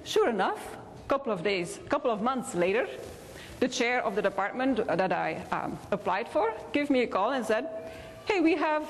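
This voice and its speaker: A woman's voice: on the high side, big pitch swings, normal speed, a little hiss or hum. A woman from Belgium with a Belgian accent, telling personal stories as a convention speaker, with small hesitations. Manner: warm anecdotal storytelling with hesitations. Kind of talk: motivational keynote storytelling. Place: large convention hall. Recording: reverberant convention hall.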